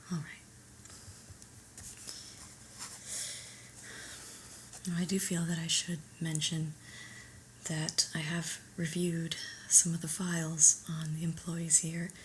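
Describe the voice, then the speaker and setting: A woman playing a stern, unimpressed new office boss in an ASMR roleplay, speaking in a breathy whisper with a measured, formal, reproving manner. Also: fairly low pitch, a little hiss or hum, natural voice, poor audio. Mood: stern, businesslike. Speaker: ASMR performer.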